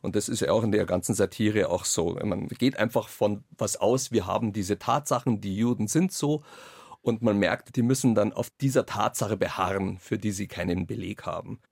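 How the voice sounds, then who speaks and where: A man's voice: fairly low pitch, normal speed, gravelly, a little mellow, no echo, clean studio sound. A man with a Bavarian accent giving conversational interview answers as a radio play author.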